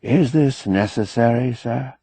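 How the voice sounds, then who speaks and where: A man voicing a measured, guarded government secretary with calm authority, formal and restrained, in an audiobook. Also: medium pitch, husky, no echo. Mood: curt, restrained. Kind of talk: audiobook character acting.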